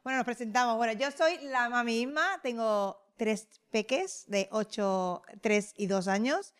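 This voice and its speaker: A woman's voice: a little high, measured pace, smooth. A woman from Seville, co-hosting a casual podcast and chatting in a welcoming, talkative way. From Seville, Spain. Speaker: podcast co-host. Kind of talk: casual chat.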